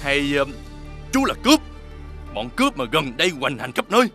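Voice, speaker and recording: An actor playing a greedy, suspicious, domineering rich elder brother in an animated fairy tale, overbearing and scornful. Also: male voice, on the high side, fairly quick. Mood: accusing. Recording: music behind the voice.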